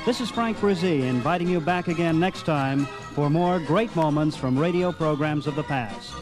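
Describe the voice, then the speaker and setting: A man's voice: on the high side, moderate pace, over music, rough recording. An American man hosting a radio nostalgia program with scripted narration.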